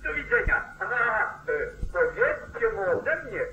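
High, piercing irate farmer. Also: male voice, wildly swooping pitch, unhurried, sharp tone, heavily acted. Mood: angry, threatening. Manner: demanding, blustering. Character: irate, self-interested Southern farmer phoning the sheriff. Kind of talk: 1940s Polish radio play acting. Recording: old radio play, slightly roomy, telephone-like sound.

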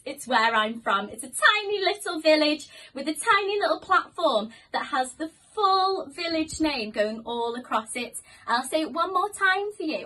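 Bright, high Welsh woman teaching kids. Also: rough recording. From Wales. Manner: animated, slow and clear for children. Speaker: primary school teacher. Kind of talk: lively lesson for young children. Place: small office room with window blinds. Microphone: phone camera a few metres away.

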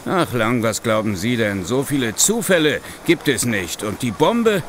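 An actor playing a gruff, sarcastic male police chief inspector in an audio drama, speaking insistently. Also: mid-range voice, sing-song, measured pace, a bit bright, slight background noise. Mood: grim, certain. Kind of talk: German audio drama acting.

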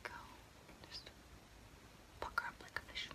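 A young woman playing a friendly water fairy in an ASMR roleplay, speaking casually in a breathy, close-miked whisper with small hesitations. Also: measured pace, lightly acted. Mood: gentle, focused. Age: young adult. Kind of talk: ASMR roleplay, personal attention. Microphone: close mic.

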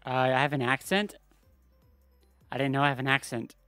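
A man hosting a casual livestream giveaway, chatting with viewers and family in quick bursts. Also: medium pitch, steady tone, bright, forceful tone, clean tone, dry recording, soft background music. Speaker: livestream host running giveaways.

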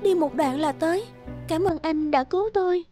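Casting: young fox-demon maiden, kids' animation, piercingly high pitch, sly, theatrical, light music behind. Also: female voice, normal speed. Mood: sweetly coaxing. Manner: coaxing, melodramatic. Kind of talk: children's fairy-tale cartoon dubbing.

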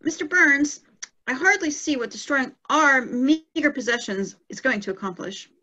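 A woman plays an earnest young cartoon schoolgirl, high and bright. Her precocious lines come over slightly muffled video-call audio. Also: normal speed. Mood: dismayed. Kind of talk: amateur cartoon table read.

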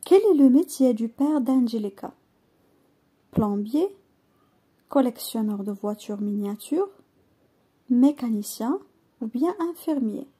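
A woman teacher reads a lesson text aloud in short, careful phrases, pausing to translate each one. Her voice sits high, yet it sounds dark and mellow, with a breathy, husky edge. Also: animated. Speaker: French teacher.

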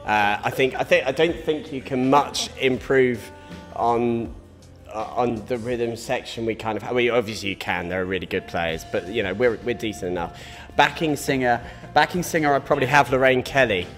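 A man's voice: mid-range voice, moderate pace, hardly any pauses. A young man, a band musician, chatting casually and jokily in an interview on a handheld microphone. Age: young adult. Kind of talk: casual chat. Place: indoor music venue. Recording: long reverb, faint music.

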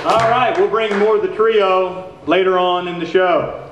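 A man's voice: high-pitched, fairly even intonation, unhurried, noisy background. A man playing a folksy announcer introducing segments of a small-town radio show on stage. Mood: folksy, presenting. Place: theater stage before an audience. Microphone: stand microphone, picked up from afar. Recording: distant, echoey stage recording.

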